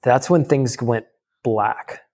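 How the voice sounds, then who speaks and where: A young man tells a personal story in an interview. He speaks in a casual, halting way, full of fillers and restarts. His voice has a gravelly fry at mid pitch. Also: a little mellow. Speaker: guest.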